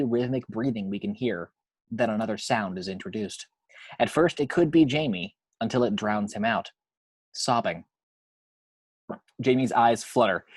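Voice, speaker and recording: Husky, dry-sounding man narrating a script. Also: on the low side, a little mellow, no echo. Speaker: reader of action descriptions.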